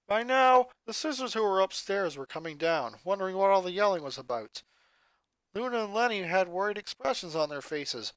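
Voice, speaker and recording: A fan fiction reader narrating a story dramatically with an expressive delivery. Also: medium pitch, no room sound, low-quality recording.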